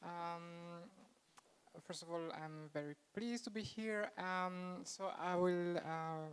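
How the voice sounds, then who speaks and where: Woman with a French accent, speaking slowly, low-ish voice, smooth, in a reverberant hall. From France. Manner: slow, hesitant, apologetic. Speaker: invited speaker. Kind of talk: presentation at a meetup. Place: event room with stage.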